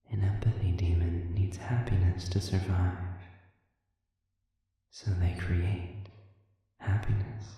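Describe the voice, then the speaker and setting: A performer voicing a sadistic, manipulative demon in a scripted audio roleplay, silkily taunting with slow, deliberate pauses and breathy near-whispers. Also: low voice. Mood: coolly persuasive. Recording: echoing hall reverb, distant dark sound.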